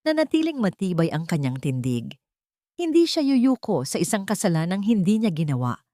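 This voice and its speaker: Woman storyteller, slightly low voice, solemn, suspenseful, dramatic scripted narration, very dry close sound. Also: darkish tone, no room sound.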